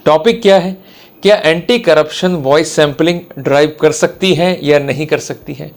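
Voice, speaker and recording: Higher-voiced Indian man lecturing on camera. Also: normal speed. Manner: didactic, emphatic, repeats key points. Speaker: advocate giving a law lecture. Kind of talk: explanatory lecture to viewers.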